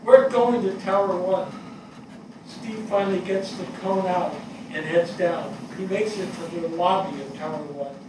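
An older man playing a grieving, bewildered fire captain in a staged dramatic reading, speaking plainly and haltingly. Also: high voice, fairly even intonation, far from the mic, natural voice. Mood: intense recounting. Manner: plain-spoken, halting, searching. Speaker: actor in a staged play reading. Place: small indoor theatre stage before an audience. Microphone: distant camera mic. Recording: echoey stage picked up from afar, noisy.

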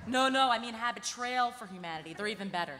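A woman's voice: a little high, lively intonation, fast, bright, forceful tone. A young woman playing an earnest, fretful young artist, gushing and melodramatic, at a live table read. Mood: flustered. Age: young adult. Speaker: actress. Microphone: table microphone. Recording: echoey convention hall, faint noise.

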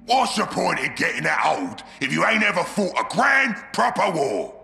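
An actor playing a brash, warmongering ork warlord in a video game, with a gruff Cockney voice and blustering delivery. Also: male voice, on the high side, big pitch swings, normal speed, echoey room, in a large hall, faint music behind, full character voice. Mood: scornful. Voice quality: gruff, gravelly. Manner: blustering, bellowing. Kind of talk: video game cutscene acting.

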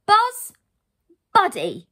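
Storybook role: woman as exasperated, sensible little schoolgirl, bossy and emphatic, very animated pitch. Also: fairly quick, husky. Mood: shocked, exasperated. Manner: emphatic scolding. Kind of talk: children's storybook character reading.

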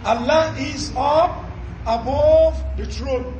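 A man's voice: extremely high pitch, takes it slowly, darkish tone, echoey room, lo-fi sound, a little hiss or hum. A man in his forties preaching a Friday sermon in a study lined with books. He is emphatic and asks rhetorical questions, then answers them himself. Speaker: Islamic preacher.

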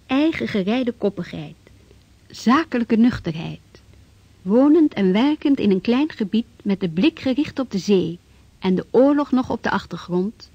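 A woman narrating a historical radio play in a grave, measured way. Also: medium pitch, dark tone, slightly rough, no room sound. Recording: dull, dry vintage radio recording.

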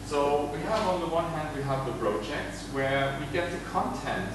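A man in his thirties leading a workshop, explaining informally and haltingly. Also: on the high side. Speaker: workshop leader. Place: classroom-like workshop room indoors. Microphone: camera mic from across the room. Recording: very noisy echoey room, distant mic.

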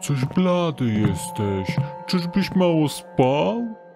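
A man's voice: fairly high pitch, sing-song, drawn-out words, heavily acted. An actor playing a stocky, sly thug of a doorman in an audio drama, sardonic and offhand. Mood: teasing. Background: background music.

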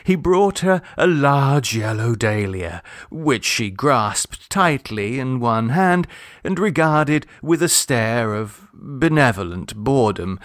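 A man narrates a literary short story at a slow, careful pace. His delivery is measured and expressive, with a mid-pitched voice. The recording is dry and close. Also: no room sound. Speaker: audiobook narrator.

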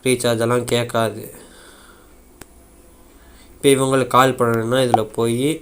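A man casually walking viewers through a phone app in an informal, rambling way with repeated filler phrases. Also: mid-range voice. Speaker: tech YouTuber. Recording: faint background noise.

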